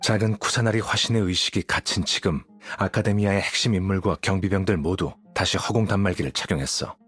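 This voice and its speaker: A man's voice: low-pitched, a little fast, a bit bright, breathy edge. A man playing a cool, calculating scholar in a video game, explaining things in a composed, matter-of-fact way. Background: background music.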